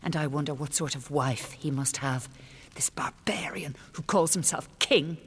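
A bereaved, defiant queen in a radio drama, her voice low, husky and breathy. She speaks slowly and bitterly with measured scorn, and a long reverb trails behind her words. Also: animated. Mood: grieving. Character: grieving, defiant older queen of a fallen city. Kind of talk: first-person radio drama narration.

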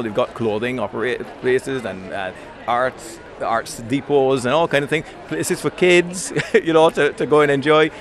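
A man speaking casually as an interview guest, halting as he searches for words. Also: a little high.

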